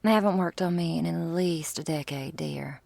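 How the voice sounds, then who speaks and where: A woman's voice: low-pitched, moderate pace, a little mellow, no echo, heavily acted. A woman playing a teasing, doting female vampire lover in an intimate ASMR roleplay, with whispery asides and quaint, affectionate wording. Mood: playful, affectionate. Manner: breathy asides, pet names, quaint turns of phrase.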